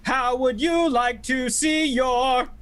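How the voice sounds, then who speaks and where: Very high, slow-talking theatrical villain. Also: male voice, steady tone, fairly bright tone, smooth, full character voice. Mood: silky menace. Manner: theatrical, silky, condescending. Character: scheming, mock-courteous mad villain leader of warring vegetables. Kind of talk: 1940s radio play acting.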